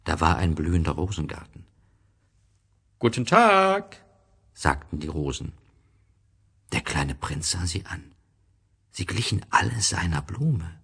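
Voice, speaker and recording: Male storybook narrator: low, husky, slow-paced, expressive literary delivery.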